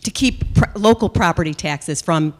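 A woman, a school committee member, asking a measured, explanatory question from the audience in a large function room. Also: fairly low pitch, a little mellow. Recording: long reverb in a large room.

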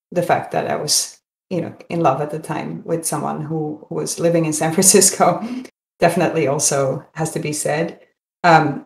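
Low-pitched, creaky woman. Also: darkish tone. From Netherlands. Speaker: podcast guest. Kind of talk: conversational interview answers. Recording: roomy, echoing sound.

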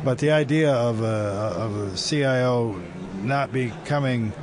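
Joking, mid-pitched male interviewer on a crowded expo floor. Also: measured pace. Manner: joking, wry, rambling questions. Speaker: interview host. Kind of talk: conversational tech interview. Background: crowd chatter.

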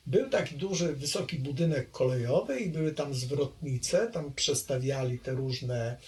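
A middle-aged man from Poland, slow and reflective, recalling his childhood in an oral history interview with many pauses. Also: medium pitch, a little mellow. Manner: hesitant, reflective, trailing off.

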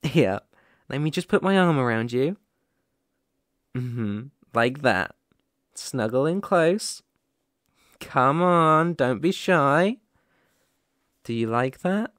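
Man acting a slow, gentle, playful boyfriend. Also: medium pitch, expressive, no room sound, clean studio sound. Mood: teasing, affectionate. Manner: gentle, soothing, affectionate, chuckling often. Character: caring, playful young boyfriend tending his sick partner. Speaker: ASMR roleplay performer. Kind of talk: ASMR boyfriend roleplay, intimate. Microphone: close microphone, dry.

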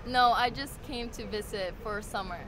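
A woman's voice: a little high, normal speed. A young woman from Florida with an American accent, chatting casually in a street interview. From Florida, USA. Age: young adult. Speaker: tourist being interviewed. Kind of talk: casual chat. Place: outdoors by a city crossing at night. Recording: noisy street with passing cars.

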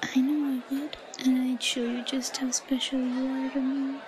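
Yandere schoolgirl , female performer: timid yet obsessive, high whispery voice for roleplay audio, noisy background. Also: level tone, natural voice. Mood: giddy obsession. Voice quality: breathy, whispery. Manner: giggly, halting. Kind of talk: intimate roleplay audio, breathy murmuring to herself. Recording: noisy, crowded ambient background.

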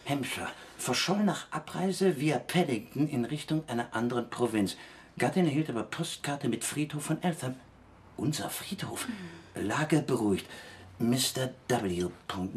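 An actor playing a pompous, pedantic crime novelist in a German radio play, theatrical in manner. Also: male voice, medium pitch, slight background noise.

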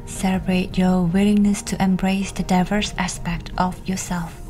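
A woman speaks in a slightly low, breathy and whispery voice as she guides a meditation. Her delivery is scripted, soothing and unhurried, leaving space after instructions. Soft-edged words sit over faint background music. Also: little pitch movement.

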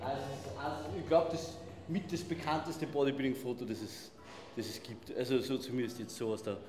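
A man in his thirties with an Austrian accent, chatting casually and haltingly as a visiting vlogger. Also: medium pitch, steady tone. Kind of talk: casual chat. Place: indoor gym rooms. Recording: echoey hall-like rooms, faint music. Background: faint music.

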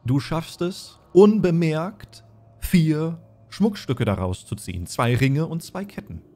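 A man's voice: a little high, animated, takes it slowly, warm tone. A man acting as game master, patiently explaining tabletop game rules step by step and narrating.

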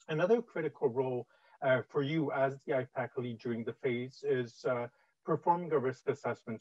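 A man's voice: mid-range voice, a little mellow, no echo. Canadian man presenting a webinar, filler-heavy. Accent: Canadian English. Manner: many "uh" fillers, conversational. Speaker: webinar presenter on infection prevention. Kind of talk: explanatory webinar talk over slides. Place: indoor room, on video call. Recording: dull, dry video-call audio.

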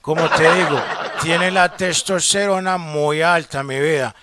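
A Colombian man making a comedic prank call, smooth-talking and full of pet names. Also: a little high, steady tone, a little slow. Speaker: prank caller, show host. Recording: very noisy phone-call recording.

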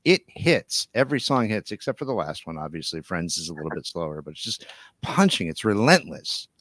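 A man's voice: deep voice, very animated, brisk, a bit bright, no echo. A middle-aged man hosting a podcast, chatting casually with guests over a video call into a close microphone. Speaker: podcast host. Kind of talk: casual interview chat. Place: home room, video call.

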